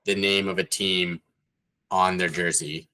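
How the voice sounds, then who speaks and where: A man co-hosting a casual sports podcast, talking loosely with many fillers and getting animated when amused. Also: low voice, measured pace. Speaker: podcast co-host.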